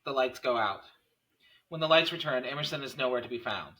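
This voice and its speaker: A man's voice: mid-range voice, animated, fairly quick, bright, forceful tone, rough recording. A man reading scene headings and stage directions as narrator at a script table read.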